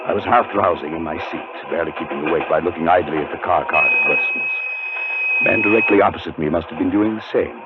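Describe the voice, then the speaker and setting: An actor playing a haunted, nervous scholarly gentleman, narrating in a brooding, suspenseful 1940s radio drama style. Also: sits low, fast talker, heavy background noise, lightly acted. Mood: dread, suspense. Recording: muffled 1940s radio recording with music.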